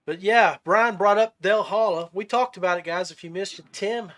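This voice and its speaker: A man's voice: high-pitched, moderate pace, fairly bright tone. A man hosting a casual fishing podcast, telling folksy, rambling stories. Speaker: podcast host.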